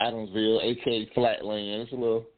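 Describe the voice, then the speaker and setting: A man's voice: fairly low pitch, little pitch movement, fast talker, a crowd in the background. A man in his fifties with an Atlanta Southern accent, calling in to a talk show with jovial, slangy banter and repeated catchphrases. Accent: Atlanta Southern American. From Atlanta, Georgia, USA. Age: 50s. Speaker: call-in guest. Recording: muffled, band-limited phone call audio.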